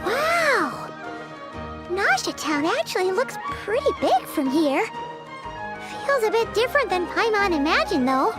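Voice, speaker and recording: A woman voicing a small, curious, excitable fairy companion in a video game, with a squeaky childlike voice and exclamatory manner. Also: measured pace, full character voice. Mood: excited. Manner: exclamatory, speaks of herself in third person. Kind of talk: video game character voice acting. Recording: loud music behind.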